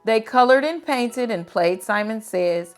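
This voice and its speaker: A woman reading children's picture books aloud in an expressive, dramatic and deliberate storytelling style. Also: on the high side, soft background music.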